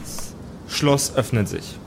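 A man improvising narration as game master of a tabletop role-playing game, halting now and then to search for words. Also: mid-range voice, expressive, creaky, background noise.

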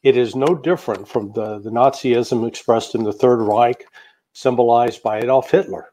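An American man talking at an ordinary mid pitch, steady and deliberate as he works through a long answer. He pauses often, filling the gaps with small hesitations and restarts, like a guest analyst laying out a case in an interview. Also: poor audio. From United States. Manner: measured, with frequent fillers and restarts. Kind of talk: interview commentary.

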